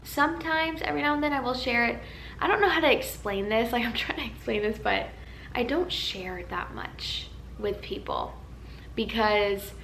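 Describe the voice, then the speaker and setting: A young woman vlogging in a casual, chatty way, tired and a little raspy from a nap, in a large empty house. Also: mid-range voice, bright, forceful tone, echoey room, a little hiss or hum. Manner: chatty, rambling, earnest. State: tired, slightly raspy from just waking up. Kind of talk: casual chat to camera. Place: large empty house used as a wedding venue.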